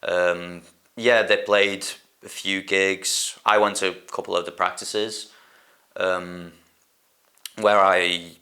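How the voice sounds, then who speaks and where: A man's voice: sits low, flat delivery, takes it slowly, sharp tone. A young man from Hungary whose first language is Hungarian, talking in a casual documentary interview, hesitant and searching for words with lots of likes and ums. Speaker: band drummer.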